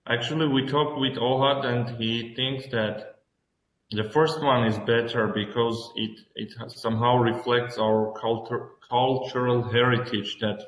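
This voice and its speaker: A man with an Armenian accent presents a project over a video call. He talks slowly and haltingly, searching for words, in an average-pitched voice. The room sounds very echoey. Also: steady tone. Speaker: student presenting project, schoolteacher.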